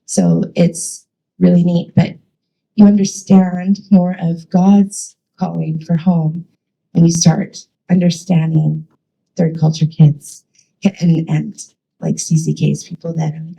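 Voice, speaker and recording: Low, gravelly woman talking in church. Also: fairly even intonation, takes it slowly, warm tone, low-quality recording. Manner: chatty, enthusiastic, many fillers. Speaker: church youth ministry leader. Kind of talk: casual church talk.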